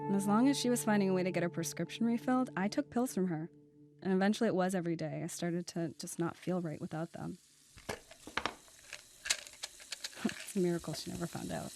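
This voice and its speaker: A woman's voice: on the low side, quick pace, over loud music, natural voice. A woman acting in an audio drama as an addicted daughter, guarded and confessional about a troubled childhood.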